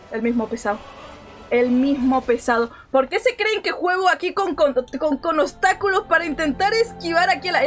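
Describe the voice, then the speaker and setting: A young woman streams a game with a very high voice and a Spanish accent tinged with Mexican inflections. She talks casually and slangily, firing off repeated phrases in an animated way. Light music plays behind her. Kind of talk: casual live gameplay commentary.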